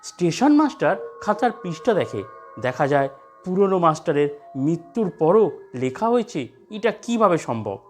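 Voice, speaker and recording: Lively horror story narrator over music. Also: medium pitch, moderate pace.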